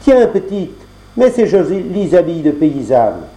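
Guy playing a caring village gardener lady, raised pitch, mellow, echoey. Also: very animated, a little slow. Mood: kindly. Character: kind motherly village gardener woman.

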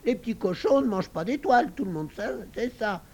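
A man's voice: high-pitched, expressive, a little fast, a little mellow, voice pushed up. A man voicing a sly little pink pig that begs breathlessly and then wheedles. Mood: wheedling.

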